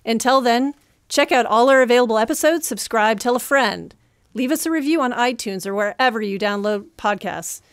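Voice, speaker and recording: A woman's voice: on the high side, expressive, moderate pace, smooth, no room sound, studio quality. A woman host reading scripted closing announcements at a live show in a bookstore. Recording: noisy live recording in a bookstore.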